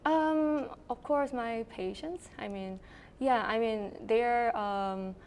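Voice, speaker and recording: A woman's voice: medium pitch, darkish tone, a little hiss or hum. A woman in her thirties from South Korea with a Korean accent, a medical resident answering interview questions thoughtfully with many ums. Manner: thoughtful, with frequent ums and you-knows.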